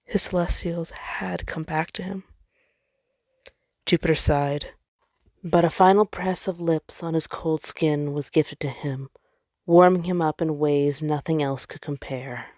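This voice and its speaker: A woman's voice: sits low, fairly even intonation, a little slow, darkish tone, no room sound, clean studio sound. A woman reading a story aloud as narrator, in a dramatic scripted style. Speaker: fan fiction narrator.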